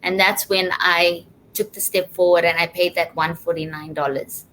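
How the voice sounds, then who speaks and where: A woman's voice: on the low side, fairly even intonation, moderate pace, fairly bright tone, a little hiss or hum, poor audio. A woman from Zimbabwe speaking casually as an interview guest, telling of personal experience.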